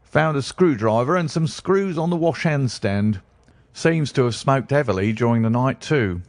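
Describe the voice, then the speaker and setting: A man's voice: mid-range voice, animated, no echo, voice pushed up, natural voice. A man voicing a hearty, self-assured police inspector in a storybook reading. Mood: confident. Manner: brisk, loud, matter-of-fact.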